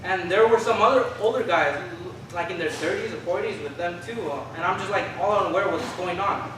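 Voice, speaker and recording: A man acts out a spirited young Chicano activist in a staged reading. The voice is high and the telling colloquial, sprinkled with Spanish words. It is heard from far off in a very echoey, noisy room. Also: a little fast, natural voice. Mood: awed, earnest. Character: Philip, spirited Chicano youth recalling 1970 activism. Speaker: stage reader in a documentary play. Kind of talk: theatrical monologue, staged reading.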